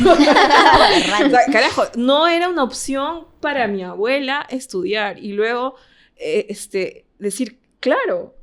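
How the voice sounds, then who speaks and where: A Peruvian woman in a casual podcast discussion, telling personal anecdotes and voicing remembered bits of dialogue. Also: a little high. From Peru. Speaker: podcast guest.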